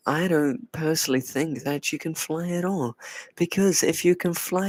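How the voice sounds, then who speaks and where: Guy streaming and teasing his chat, soft voice pitched kinda high, dry close sound. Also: no room sound. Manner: playful, teasing, answers chat; soft, light put-on voice. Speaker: livestream host. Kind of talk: casual live chat with viewers.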